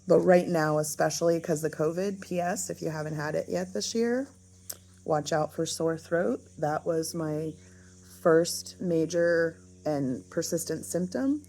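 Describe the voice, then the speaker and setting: A woman talking casually in a diary-style vlog with a raspy, hoarse voice. She is unhurried and thoughtful, and often pauses to find her words. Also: low voice, mellow, slight background noise. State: raspy, hoarse voice after illness. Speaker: vlogger.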